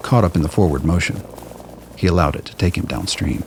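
A man's voice: deep voice, a little fast, vocal fry. A man delivering scripted, dramatic narration for an audio play. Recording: noise, ambient sound effects behind.

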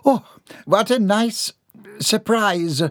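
A man's voice: high-pitched, extremely slow, dry recording, studio quality, voice pushed up. A man voicing a stern, irritated nanny with a proper, curt and strainedly polite manner in an audiobook story. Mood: strained politeness.

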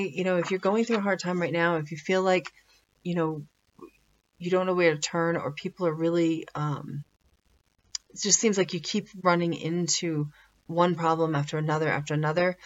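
A woman giving informal motivational advice in a conversational, halting way, repeating phrases for emphasis. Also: sits low, muffled recording. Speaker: motivational spiritual speaker.

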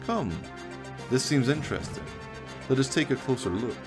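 A man's voice: mid-range voice, very animated, normal speed, over music, pitched above the performer's own voice, lightly acted. A man voicing a florid, sardonic television reporter in a radio play, telling an ominous tale as mock documentary.

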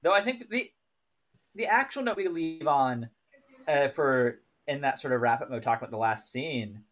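A man's voice: fairly high pitch, expressive, moderate pace, fairly bright tone. A man co-hosting a podcast, talking through his analysis casually and thoughtfully, often hesitating and rephrasing. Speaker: podcast co-host.

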